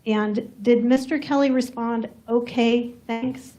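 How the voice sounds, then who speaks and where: A soft-spoken woman prosecutor questioning a witness in a courtroom, measured and methodical. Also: on the high side, steady tone, rough recording. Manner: measured, formal, methodical questions with some fillers.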